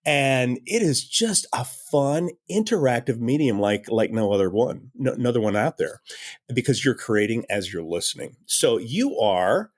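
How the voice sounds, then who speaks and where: Enthusiastic man with an animated, swooping delivery. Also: medium pitch, moderate pace. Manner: enthusiastic, emphatic, repeats phrases. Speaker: interview guest, audio drama creator. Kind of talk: casual conversational interview answers.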